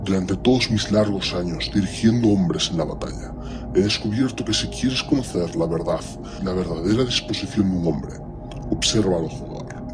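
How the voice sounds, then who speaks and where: A man's voice: low-pitched, noisy background, natural voice. A man playing an ancient superhuman warlord in an audio drama, speaking with cold, commanding disdain and menace. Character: ancient imperious superhuman warlord primarch, menacing and coldly condescending.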